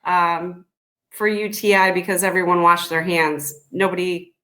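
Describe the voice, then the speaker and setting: A woman's voice: lowish voice, steady tone, measured pace, bright, forceful tone. A woman co-hosting a casual panel discussion, chatty and plainspoken, reading out listener comments.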